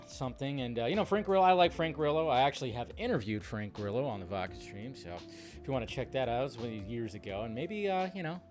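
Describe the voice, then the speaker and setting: A man's voice: medium pitch, lively intonation, fast. Man, emphatic movie commentary over music. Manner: emphatic, rambling, slangy. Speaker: host of a film news channel. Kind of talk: casual opinionated fan commentary. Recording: music behind the voice.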